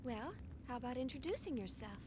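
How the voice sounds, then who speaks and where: A brisk young engineer. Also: female voice, a little high, sing-song, background noise. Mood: gentle. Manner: decisive, gives short orders. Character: young female chief engineer, brisk and caring. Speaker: game character. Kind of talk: dubbed game cutscene acting.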